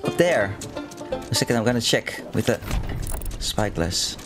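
A man chatting casually and excitably with teammates during co-op video game play. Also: fairly low pitch, a little slow. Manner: excitable, exclaiming. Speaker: gaming channel player. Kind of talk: casual co-op gameplay chat. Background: game music.

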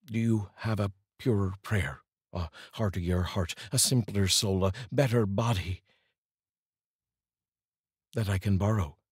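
A middle-aged man speaking in an earnest, deliberate way, like a host reading poetry aloud, up close to a studio microphone. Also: fairly low pitch, husky, no echo. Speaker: host and voice-over reader. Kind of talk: solemn, measured poetry reading and personal address to viewers. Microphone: large studio microphone, up close.

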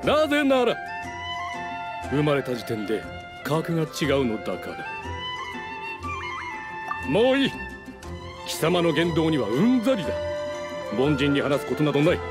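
An actor playing a haughty descendant of fallen aristocrats in a video game, speaking in a pompous, scornful, lordly manner. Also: male voice, a little high, wildly swooping pitch, measured pace, pauses often, music in the background. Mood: scornful, proud. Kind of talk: theatrical video game dialogue.

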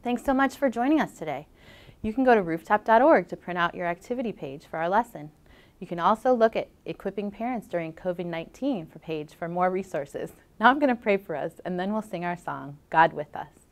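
A middle-aged woman teaching a children's church lesson on a lapel mic, earnest and encouraging, then praying softly. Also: fairly low pitch, animated, fairly quick. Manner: earnest, gentle and encouraging, softening into prayer. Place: indoor studio with a cartoon bedroom backdrop.

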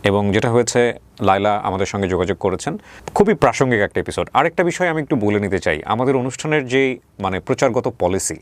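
A man's voice: fairly low pitch, animated, fast, no room sound. A man hosting an interview show, speaking politely and formally and mixing English words into his talk. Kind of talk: interview hosting, addressing viewers.

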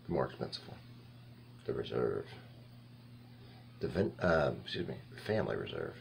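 Guy in his 50s doing a casual review, creaky voice, halting, some noise in the background. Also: very deep voice, very animated. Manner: halting, rambling, thinking aloud. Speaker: wine reviewer and host. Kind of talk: casual, off-the-cuff tasting review to camera. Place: small indoor home bar room.

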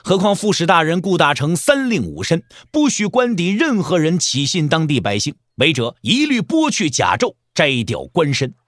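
A man's voice: fairly high pitch, very animated, moderate pace, hardly any pauses, a bit bright, dry recording, clean studio sound. A man narrating a wuxia audio drama in a dramatic, scripted storytelling style.

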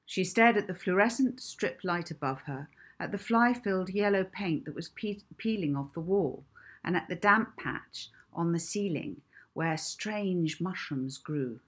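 A woman in her fifties reading her own children's book aloud as an expressive, deliberate storyteller. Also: on the low side, slightly rough. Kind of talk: children's audiobook narration. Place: small indoor room at home.

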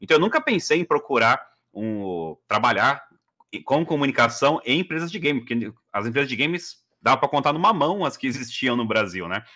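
Bright-voiced São man chatting. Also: mid-range voice, very animated, fairly quick. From Brazil. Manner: chatty, many fillers and restarts. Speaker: guest. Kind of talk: casual interview storytelling. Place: living room at home.

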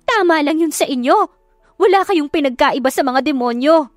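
High-pitched, defiant young Filipina heroine, acted by a woman. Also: animated, normal speed, no echo, soft background music. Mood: angry, defiant. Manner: emotional, exclaiming, shouting.

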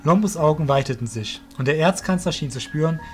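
A man reads scripted narration for a fantasy audio play. His voice sits somewhat high for a male. Music plays behind his storytelling. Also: animated, brisk.

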